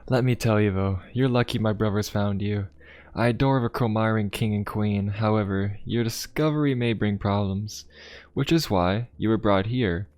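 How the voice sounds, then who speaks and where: A man playing a courteous, inquisitive young pope of a steampunk fantasy world in an ASMR roleplay, speaking politely, formally and in a gently musing way. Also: on the low side, normal speed, no room sound, close to an everyday voice. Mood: reassuring, curious. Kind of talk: ASMR roleplay addressed to the listener. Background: music.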